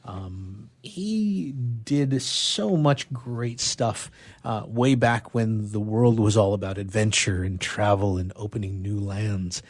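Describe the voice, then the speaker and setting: A man's voice: on the low side, takes it slowly. A man, a radio theatre player, talking casually as he introduces a reading. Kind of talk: casual talk introducing a poem.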